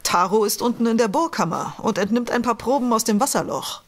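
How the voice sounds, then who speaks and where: An actress playing a resolute, candid scientist at a polar station in an audio drama. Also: female voice, fairly high pitch, expressive, fairly quick, close to an everyday voice. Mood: worried.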